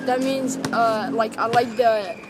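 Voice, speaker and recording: A man's voice: very high-pitched, flat delivery, slow pace, over music. A man from Morocco giving brief, casual answers to a street interviewer. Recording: street with clear traffic noise.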